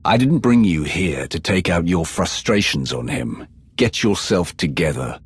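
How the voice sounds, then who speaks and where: Grim game commander: extremely low, rasping voice, terse, controlled authority. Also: male voice, lively intonation, measured pace, no echo, exaggerated voice. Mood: stern. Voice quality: gravelly. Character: commanding, controlled dark warrior, grimly pragmatic. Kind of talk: video game voice acting.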